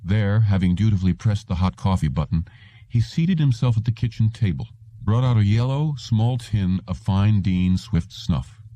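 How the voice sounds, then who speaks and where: A man's voice: on the low side, animated, normal speed, warm tone, no room sound. A man reading literary fiction aloud as a scripted audiobook narrator.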